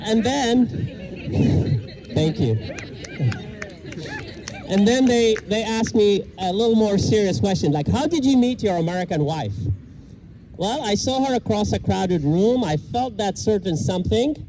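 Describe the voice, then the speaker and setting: A middle-aged man from Iraq doing a self-deprecating stand-up set with deadpan punchlines, outdoors in a park. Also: high-pitched, measured pace, long pauses. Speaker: stand-up comedian. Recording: outdoor noise and passing traffic. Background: park ambience and vehicles.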